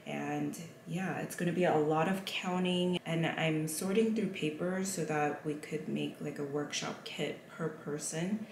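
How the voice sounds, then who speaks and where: A woman's voice: deep voice, unhurried, few pauses, a little mellow. A young woman giving casual vlog narration about her work, recorded indoors in a small craft room. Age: young adult. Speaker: vlogger and paper crafter. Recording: roomy echo, faint background noise.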